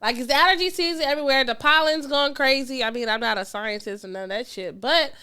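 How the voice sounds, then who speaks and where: A woman co-hosting a podcast in casual chat, congested with a cold, animated and swearing freely. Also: high-pitched, fast talker, smooth. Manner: animated, swears freely, restarts mid-sentence. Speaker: podcast co-host.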